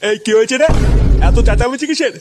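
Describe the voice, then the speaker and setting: An actor voicing a cranky old village father who scolds in gruff outbursts in a comic cartoon, over a noisy soundtrack. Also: mid-range voice, quick pace, close to an everyday voice. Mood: scolding.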